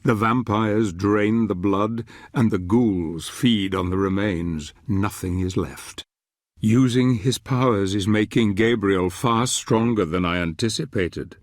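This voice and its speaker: An actor playing a solemn, ominous storyteller, narrating a dark fantasy video game in a grave, measured, theatrical way. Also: male voice, on the low side, dry recording, studio quality. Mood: grave, foreboding. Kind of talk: dramatic scripted game narration.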